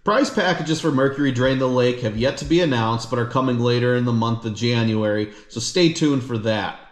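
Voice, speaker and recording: A man's voice: mid-range voice, moderate pace. Upbeat man talking to camera in echoey room. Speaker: fishing channel host. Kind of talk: scripted announcement to camera. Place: living room indoors.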